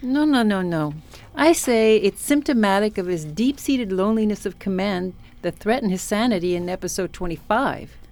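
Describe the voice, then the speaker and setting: A woman's voice: medium pitch, lively intonation, moderate pace, darkish tone, close to an everyday voice. A woman playing a vain, competitive ship's counselor in a parody radio play. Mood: catty.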